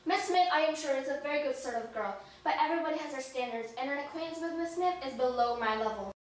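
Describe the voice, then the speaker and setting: Girl doing a stuffy snobby gentleman suitor, high voice, formal, echoey room far from the mic. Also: fast talker, natural voice. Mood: snobbish. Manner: stiff, formal period speech.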